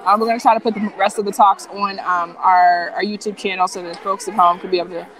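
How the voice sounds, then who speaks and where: A woman guest chatting casually in a live interview, enthusiastic and full of fillers, her voice getting hoarse from too much talking. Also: mid-range voice, fast, low-quality recording. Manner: relaxed, filler-heavy, enthusiastic. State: losing her voice. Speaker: founder of a nonprofit hacking group, guest.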